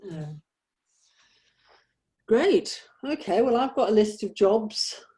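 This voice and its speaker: A woman's voice: medium pitch, very animated, takes it slowly, mellow. Informal woman in a planning meeting. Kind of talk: casual production meeting talk.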